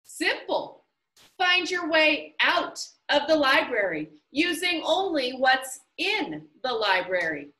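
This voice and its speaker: A woman's voice: sits high, very animated, slow, sharp tone, pitched above the performer's own voice, exaggerated voice. A woman voicing an eccentric, cheerful male game-maker who announces things theatrically. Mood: showy. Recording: roomy, somewhat distant mic.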